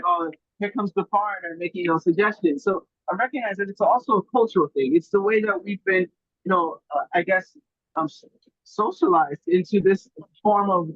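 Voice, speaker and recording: A man's voice: high voice, a bit bright, slightly rough, no echo, dull audio. A man who grew up in Germany, a guest food grower, talking earnestly in a casual podcast chat and searching for his words.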